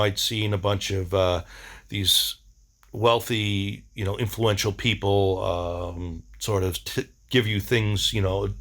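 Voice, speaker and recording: A middle-aged man talking casually in a rambling video diary, halting and slangy, with laid-back enthusiasm. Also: on the low side, a bit bright. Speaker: vlogger.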